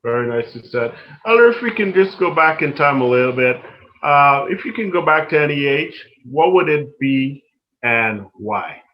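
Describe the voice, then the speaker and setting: A man's voice: fairly high pitch, big pitch swings, normal speed, dull audio. A man hosting an interview, asking questions in a polite style. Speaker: interviewer, host.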